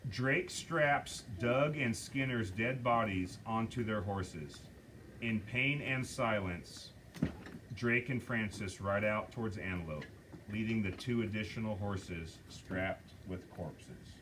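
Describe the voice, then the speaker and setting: A man's voice: fairly low pitch, slow pace, background noise. A man reading stage directions aloud at a table reading and directing the scenes at a film studio.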